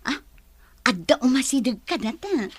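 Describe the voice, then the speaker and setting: A woman's voice: high-pitched, lively intonation, slow. An actress playing a giggly young woman who teases her best friend. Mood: teasing. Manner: teasing, giggling.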